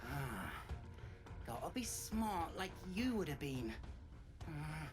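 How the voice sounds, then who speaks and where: Young street girl: loyal, defiant, slangy, unhurried game dialogue with animated pitch over music. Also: exaggerated voice. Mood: anguished. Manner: slangy, punchy, emotive. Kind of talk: video game character acting. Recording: music under the voice.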